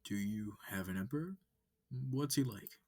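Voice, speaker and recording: A man's voice: lowish voice, moderate pace, a little mellow, no echo, studio quality, close to an everyday voice. A man voicing a curious, eager alien wolf man from a visual novel, with hesitant, questioning delivery. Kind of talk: visual novel character reading.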